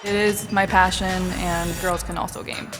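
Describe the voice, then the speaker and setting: A woman's voice: fairly low pitch, little pitch movement, normal speed, long reverb tail, over music. A nineteen-year-old woman, a pro gamer, speaking earnestly and laughing easily in a casual group discussion.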